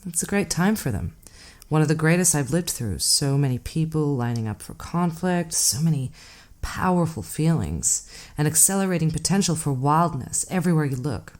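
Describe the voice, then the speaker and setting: A woman acting in an audio drama as an enigmatic hitchhiker, an unflappable collector who speaks in a measured, cryptic, knowing way. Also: low-pitched, animated, a little mellow. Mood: sly menace.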